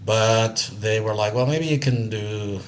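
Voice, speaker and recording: Man from Israel, very low voice, loose meandering storyteller, faint room ambience behind him. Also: unhurried, a little hiss or hum. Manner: relaxed and meandering, many fillers and restarts. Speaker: accessibility analyst. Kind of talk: casual personal story.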